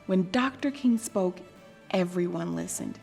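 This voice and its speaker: A woman reading a children's story aloud in a deliberate, expressive and dramatic storytelling manner. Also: fairly low pitch, gravelly, breathy edge, soft background music. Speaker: storybook reader.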